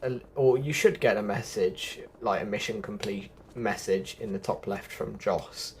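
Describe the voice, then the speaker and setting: A man's voice: lowish voice, unhurried, slight background noise. A man giving a casual, chatty gameplay walkthrough, explaining things directly to viewers as a video host.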